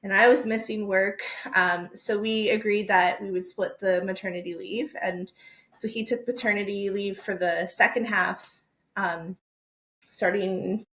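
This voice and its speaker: A woman's voice: mid-range voice, fairly even intonation, measured pace, fairly bright tone. Woman guest telling a story in an echoey room. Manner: plain, storytelling, with fillers. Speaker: guest, company co-founder. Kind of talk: casual interview.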